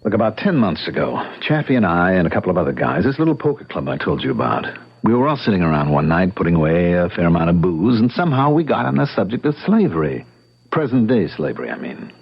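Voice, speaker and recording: An actor playing an earnest, stubborn young American bachelor in an old-time radio drama, explaining himself emphatically. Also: male voice, low-pitched, big pitch swings, fast talker, breathy edge, exaggerated voice. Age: young adult. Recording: muffled radio drama recording.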